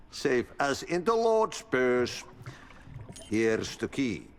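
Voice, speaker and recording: An older, cryptic Finnish janitor from a video game. His cheerful, folksy delivery swings wildly up and down in pitch and takes its time. The voice rings in a long, cavernous reverb. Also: sing-song, extremely slow, a little hiss or hum, full character voice. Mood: playful, cryptic. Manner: folksy riddles, sing-song drawl.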